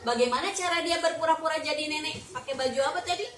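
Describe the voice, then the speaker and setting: A woman's voice: high-pitched, fast, far from the mic. A young woman teacher reading a story aloud in a classroom, then quizzing a child with coaxing questions and half-finished words for the child to complete. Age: young adult. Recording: echoey room, voice from off-mic, clear noise.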